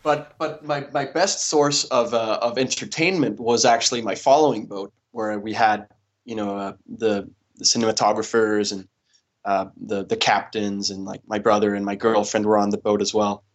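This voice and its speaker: A young man from Denmark answering interview questions in a relaxed way, with many fillers and restarts. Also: lowish voice, normal speed. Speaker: interview guest, paddle athlete.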